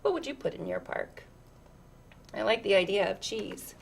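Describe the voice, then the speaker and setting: A woman's voice: mid-range voice, lively intonation, moderate pace, gravelly, slight background noise. A woman reading a children's picture book aloud expressively, with chatty asides and questions to her listeners.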